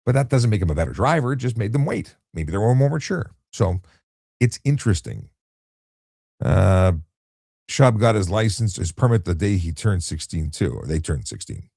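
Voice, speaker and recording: A middle-aged man with a low voice, calmly explaining things in a relaxed late-night live chat as he reads out viewer questions. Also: normal speed, gravelly, breathy edge, dry recording, studio quality. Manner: calm, explanatory, many restarts and fillers. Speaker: retired traffic police officer, live-stream host.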